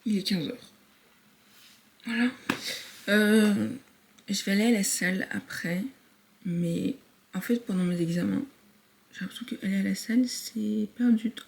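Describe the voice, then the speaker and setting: A woman's voice: medium pitch, unhurried, creaky, pauses often, a little hiss or hum. Chatty slangy young woman vlogging. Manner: chatty, slangy, addresses viewers as guys. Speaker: vlogger, university student. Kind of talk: casual vlog chat to camera.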